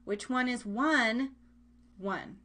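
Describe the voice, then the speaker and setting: A woman's voice: a little high, drawn-out words, bright, forceful tone. A woman hosting a live online radio show, chatting casually with listeners in a giggly, rambling, playful way, often repeating phrases.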